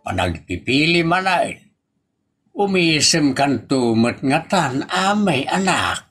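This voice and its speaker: A man's voice: on the high side, very animated, slow pace, fairly bright tone, slightly rough, soft background music, heavily acted. A man playing an exasperated, teasing older village father in an Ilocano comedy drama, stammering and exclaiming with an Ilocano accent. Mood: exasperated, coaxing. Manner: stammering, repeated interjections and exclamations.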